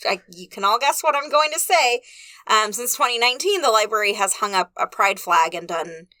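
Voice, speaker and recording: Loud young woman cohost, bright voice, animated chat, dry booth. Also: medium pitch, a little fast, dry recording. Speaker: podcast co-host. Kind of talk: casual chat. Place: small recording booth.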